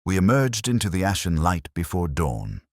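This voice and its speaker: A man narrating a scripted mystery story in a measured, solemn, dramatic literary manner. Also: deep voice, sing-song, a little mellow, no room sound, clean studio sound. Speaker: audiobook narrator.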